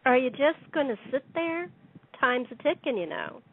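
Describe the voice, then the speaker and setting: A woman's voice: high-pitched, animated, moderate pace. A woman playing a sinister, coolly confident secretary turned armed robber in an audio play, mocking and self-assured. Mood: cool, threatening. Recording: tinny narrow phone-like sound.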